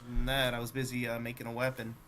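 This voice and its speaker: A man's voice: medium pitch, completely flat delivery, fast talker, fairly bright tone, a little hiss or hum, lightly acted. A man playing an easygoing, slightly distracted weaponsmith in a tabletop game, speaking casually. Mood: casual, reassuring. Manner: casual, laid-back. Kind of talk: tabletop role-play.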